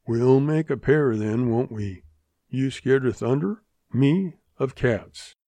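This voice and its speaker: Man playing young male cowboy, laconic, stubborn, modest, slow, creaky, western audiobook. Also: mid-range voice, lively intonation, dark tone, dry recording. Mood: wry.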